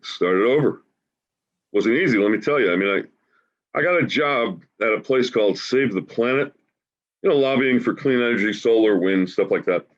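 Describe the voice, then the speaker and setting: A man's voice: mid-range voice, expressive, lightly acted. Man playing a wounded homeless guy, muffled audio. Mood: reflective, tender. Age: 40s. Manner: halting, conversational, emotional storytelling. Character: grieving homeless man in his forties, wry, warm, wounded. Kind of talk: staged play reading, naturalistic monologue. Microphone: video call. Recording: dull, lo-fi video call audio.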